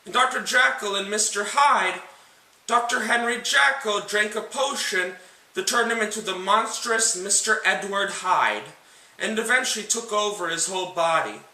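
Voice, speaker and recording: A man with a very bright, sharp, high-pitched voice hosts a scripted comparison piece. He speaks deliberately, enunciating each phrase like a presenter. The recording has a noticeably echoey room sound. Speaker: narrator and host. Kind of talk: scripted book and film comparison narration.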